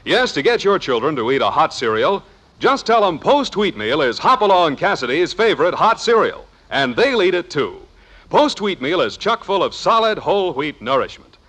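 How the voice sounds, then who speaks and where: A man's voice: on the high side, big pitch swings, moderate pace, fairly bright tone. A man with an American accent reading a chatty, persuasive 1950s radio commercial as an announcer. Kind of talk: 1950s radio advertisement, scripted.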